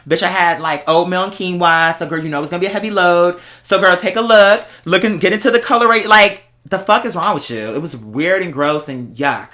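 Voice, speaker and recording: A speaker chatting casually to viewers as host, telling stories in a sassy, slangy, exclamatory way with drawn-out emphasis. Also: mid-range voice, sharp tone. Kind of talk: casual storytelling chat to viewers.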